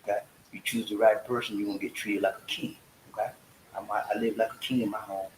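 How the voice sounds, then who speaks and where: A man's voice: fairly low pitch, little pitch movement, fast talker. A man, hosting a casual livestream chat in a folksy, preachy way. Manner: folksy, preachy, breaks into singing. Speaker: vlog host. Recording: echoey room with faint hiss.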